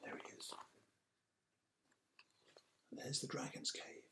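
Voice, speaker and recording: A man reading a picture book aloud to children, with dramatic drawn-out emphasis and hushed asides. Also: in a whisper. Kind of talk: animated children's storytime narration. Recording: roomy, echoey sound.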